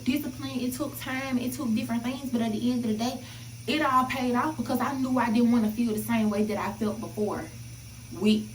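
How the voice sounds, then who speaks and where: A young woman giving a casual motivational monologue, blunt, profane and emphatic, repeating phrases for effect. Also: a little high. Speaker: self-help vlogger. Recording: echoey, distant mic, background noise.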